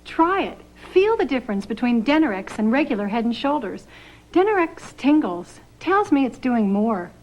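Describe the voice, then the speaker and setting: Woman, highish pitch, animated intonation, retro TV ad testimonial. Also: moderate pace, dull audio. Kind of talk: 1980s TV commercial testimonial.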